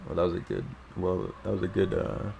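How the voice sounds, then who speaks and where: Casting: male game streamer, deep mellow voice, slangy off-the-cuff commentary, thinking out loud, faint noise behind. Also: sing-song, a little fast, gravelly. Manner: slangy, musing aloud. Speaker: gaming live streamer. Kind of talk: casual live game commentary.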